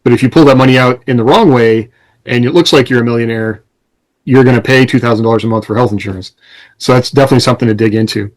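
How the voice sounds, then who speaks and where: A man's voice: mid-range voice, fast talker, poor audio. Casual man co-hosting a podcast. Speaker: podcast co-host. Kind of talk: casual chat.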